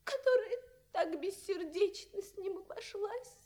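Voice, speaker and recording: A woman's voice: very high voice, a little mellow. An actress playing a tender, romantic slave girl who is a princess's confidante, in a plaintive, sighing, theatrical fairy-tale radio play style. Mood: pleading, reproachful. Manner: plaintive, sighing, drawn-out, breathy at moments.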